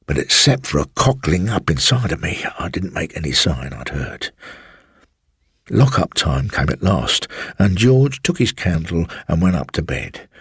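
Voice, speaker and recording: A man reading a first-person ghost story for radio in a confiding, measured way, with old-fashioned country turns of phrase and asides to a listener as "sir". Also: low voice, fairly bright tone, slightly rough, no room sound, studio quality.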